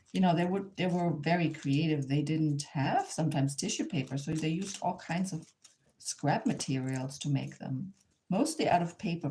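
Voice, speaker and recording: A woman from Germany with a German accent gives an informal hands-on craft demonstration in English as a second language, sometimes halting and repeating herself as she searches for words. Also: fairly low pitch, darkish tone, slight background noise. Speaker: craft instructor, artist.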